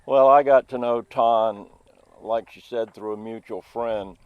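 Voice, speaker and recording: A man in his seventies, a Vietnam helicopter pilot veteran, telling a story in a slow, measured way in an interview. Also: medium pitch, fairly even intonation, clean tone, dry recording, phone-quality sound. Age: 70s.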